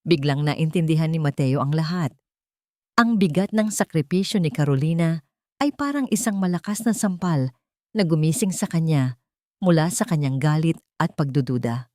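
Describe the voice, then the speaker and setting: A woman's voice: low voice, a little mellow, no echo, clean studio sound. A woman narrating a dramatic love story in an emotive, melodramatic way.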